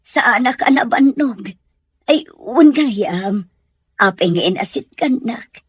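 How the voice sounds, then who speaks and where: A woman with a Filipino accent playing a meek, weary, kindly household nanny in an audio drama, gentle and submissive. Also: on the high side, expressive, slow, breathy edge, no room sound, studio quality, full character voice. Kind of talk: amateur audio drama acting.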